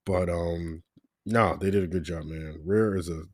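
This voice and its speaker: A man with a cold-roughened voice, casually ranking his favorite old games in a rambling, laid-back way full of fillers. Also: low voice, steady tone, measured pace, no room sound, clean studio sound. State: hoarse from a cold. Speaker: gaming channel host.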